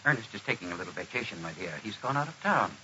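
An actor playing a smooth-talking, scheming Broadway producer who tells his story theatrically in old-time radio drama style. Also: fairly low pitch, big pitch swings, very fast, bright, forceful tone, slight background noise, exaggerated voice, poor audio. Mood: placating. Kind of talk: old-time radio drama acting with first-person narration.

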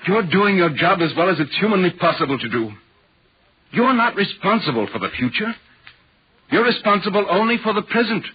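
An actor playing an earnest, concerned gentleman writer in a 1940s radio ghost drama, speaking with measured, deliberate literary phrasing. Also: sits high, big pitch swings, breathy edge, heavily acted. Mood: reassuring. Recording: muffled, worn 1940s radio recording.

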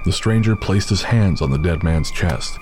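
Brief: male narrator, very low voice, expressive storytelling delivery, clear music bed underneath. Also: moderate pace. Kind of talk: scripted dramatic narration. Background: music score.

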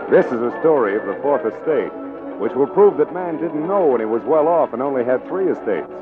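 A man's voice: medium pitch, lively intonation, brisk. A man reading scripted, dryly humorous narration in 1940s radio drama style. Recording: narrow-band old radio recording, music behind.

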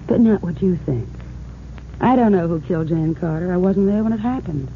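Cool, wary young dame, blunt, 1940s radio crime play, tinny with light hiss. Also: female voice, on the low side, animated, moderate pace. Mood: guarded, insistent. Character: tough, guarded young dame with a tip. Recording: tinny, hissy old radio recording.

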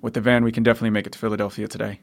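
A tired protective dad, talks fast, earnest, post-apocalyptic audio drama, music underneath. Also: male voice, on the low side, little pitch movement, gravelly. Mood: quiet, caring. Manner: plain-spoken, earnest. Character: weary, protective survivor father of a young daughter. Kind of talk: naturalistic audio drama acting.

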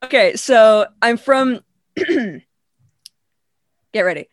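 A woman's voice: on the high side, slow, soft background music. A woman in her twenties from England who speaks with a Canadian accent, chatting easily as a podcast guest over a video call and laughing often. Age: 20s. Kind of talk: casual video-call chat.

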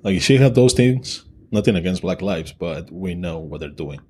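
A man's voice: low-pitched, lively intonation, normal speed, a little mellow, slight background noise. A man co-hosting a podcast, talking bluntly and crudely in casual chat, joking with his co-host. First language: Spanish.

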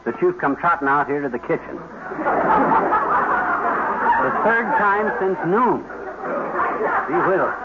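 An actor playing a bumbling, boastful husband in old radio comedy style, with folksy, slangy, wheedling talk. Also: male voice, a little high, animated, slow pace, pauses often, a loud crowd. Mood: fussy. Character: bumbling, boastful, cheerful husband cooking in the kitchen. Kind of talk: 1940s radio comedy acting. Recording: noisy muffled old radio recording.

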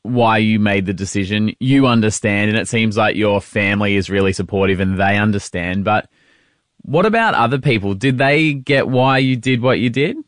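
A man with a fairly low voice recorded dry and close. He asks his questions gently and reflectively, thinking aloud as he goes. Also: moderate pace, dry recording. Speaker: host and adoptee. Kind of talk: casual interview on a call.

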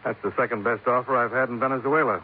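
An actor playing a cool, tough American investigator, clipped and sardonic, in 1950s radio detective style. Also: male voice, medium pitch, fairly even intonation, fast talker, natural voice. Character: cool, wry, tough male insurance investigator. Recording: noisy, narrow-band 1950s radio recording.

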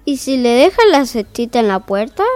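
Woman as clear, high-voiced fairy-tale storyteller. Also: big pitch swings, normal speed, a little mellow, soft background music. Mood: hesitant. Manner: hesitant, accommodating. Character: mild, flustered traditional fairy-tale storyteller. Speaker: narrator. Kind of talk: storybook narration in comic audio fiction.

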